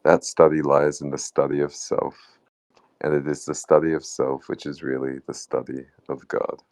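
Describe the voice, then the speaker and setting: A man reads a spiritual text aloud in an extremely deep voice. It is heavy with vocal fry and recorded very dry. His phrasing is measured and deliberate. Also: little pitch movement, a little mellow, no room sound. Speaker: participant reading in a book club.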